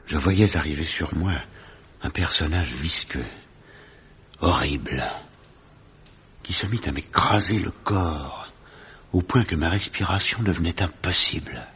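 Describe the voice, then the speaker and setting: An actor playing a grave gentleman narrator who recounts horror in measured, literary French radio drama style. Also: low voice. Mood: dread, strained. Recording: dull, noisy old radio recording.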